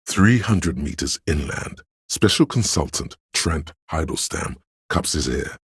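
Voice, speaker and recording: A man with a gravelly, husky voice, narrating a video game in a measured, solemn, literary and dramatic style. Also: a bass voice, lively intonation, no echo, clean studio sound. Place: close, dry studio.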